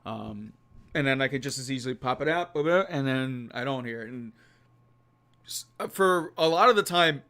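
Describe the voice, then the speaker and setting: A man hosting a casual live stream from a quiet home room on a condenser mic, rambling and self-deprecating with frequent laughs. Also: mid-range voice, expressive, moderate pace, clear, resonant tone. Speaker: host of a live stream show. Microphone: USB condenser mic.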